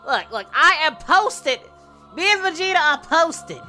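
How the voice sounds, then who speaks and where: A man voicing a goofy, excitable parody of a cartoon hero in casual reaction commentary, swinging from muttering to exclamations. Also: extremely high pitch, unhurried, faint music behind, heavily acted. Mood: amused, hyped. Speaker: reaction video host.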